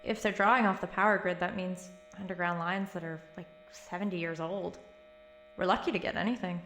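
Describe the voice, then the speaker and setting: A woman's voice: fairly low pitch, fairly even intonation, fairly quick, a bit bright, slight background noise. An actress playing a determined, matter-of-fact young woman in an audio drama, dry and slightly exasperated. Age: young adult. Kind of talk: naturalistic audio drama acting. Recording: roomy echo with music behind.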